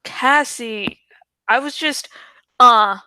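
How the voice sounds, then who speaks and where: Teen boy leader played by a female reader, stubborn yet sheepish, storybook dialogue, high clear voice, unhurried. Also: pushed and bright, no echo, full character voice. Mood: defensive.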